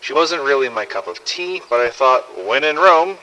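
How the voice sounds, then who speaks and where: Cocky, clueless American tourist, bright. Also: male voice, medium pitch, animated, normal speed, slight background noise, low-quality recording. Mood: cocky, excited. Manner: slangy, cocky. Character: brash, clueless, boastful American male tourist. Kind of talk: game audio log, letter read aloud.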